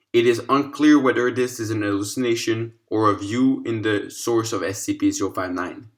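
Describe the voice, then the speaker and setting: Low-pitched man reading a clinical report. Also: fairly bright tone. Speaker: narrator reading a fictional case file. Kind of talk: scripted narration of a scientific document.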